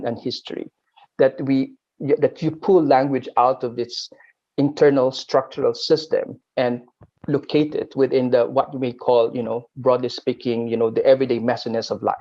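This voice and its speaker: Halting Filipino man at a panel, muffled. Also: medium pitch, a little mellow. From Philippines. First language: Aklanon. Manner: halting, with frequent word repeats. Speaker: academic panelist. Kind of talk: academic roundtable talk.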